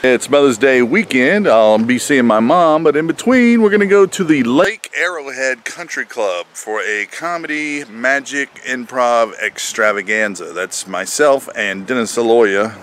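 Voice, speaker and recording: A man's voice: medium pitch, measured pace, hardly any pauses, a bit bright. A man talking casually and chattily to viewers as host of his own comedy road vlog. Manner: chatty, addresses viewers as folks. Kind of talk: casual vlog talk to camera. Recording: noisy outdoor and vehicle background.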